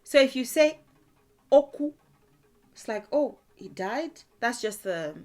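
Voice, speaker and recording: A woman's voice: a little high, lively intonation, slow pace, darkish tone, faint music behind. A woman teaching a language lesson, explaining word meanings patiently and thinking aloud as she goes. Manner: thinking aloud with frequent fillers and restarts. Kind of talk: explaining vocabulary in a video lesson.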